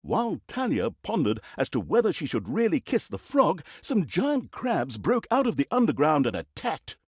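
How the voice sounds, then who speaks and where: Casting: wry, exasperated fantasy game storyteller, hugely animated intonation, mock-grandiose comic delivery, very dry studio sound. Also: male voice, on the high side, moderate pace, husky, dry recording, full character voice. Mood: wry narrating. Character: sarcastic, easily exasperated male fantasy storyteller mocking evil. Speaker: video game narrator. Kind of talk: theatrical comic storybook narration.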